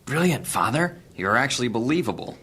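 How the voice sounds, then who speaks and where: A man playing a roguish, sardonic adventurer in a fantasy audio drama, with a brisk, wry delivery. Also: mid-range voice, big pitch swings, a bit bright, slight background noise. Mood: amused, teasing.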